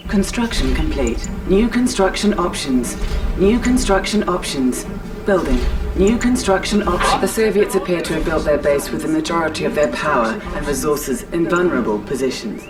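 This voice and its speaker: A woman's voice: low voice, expressive, moderate pace, far from the mic. A woman playing a composed battle announcer and mission adviser in a video game, clipped and businesslike. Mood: matter-of-fact. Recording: game audio with music behind.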